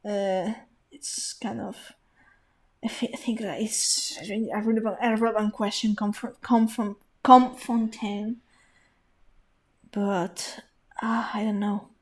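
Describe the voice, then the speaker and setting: A woman's voice: mid-range voice, fairly even intonation, measured pace. A woman streaming a video game, thinking aloud in a rambling, casual way with many restarts. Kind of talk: casual live gameplay commentary. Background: game music.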